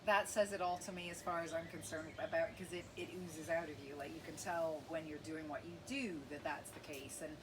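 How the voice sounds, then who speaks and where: A woman in her forties hosting a casual interview, gushing and animated, breaking into gasps and laughs. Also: deep voice, a little fast, hardly any pauses, a crowd in the background. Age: 40s. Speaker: podcast host. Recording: noisy background.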